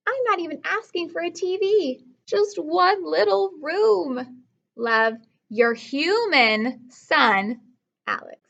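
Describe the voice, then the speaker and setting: Casting: woman as persuasive young son in a storybook, self-important and emphatic, unhurried, high voice. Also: vocal fry, bright, forceful tone, muffled recording, heavily acted. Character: persuasive, self-important school-age boy writing to his dad. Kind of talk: storybook read-aloud.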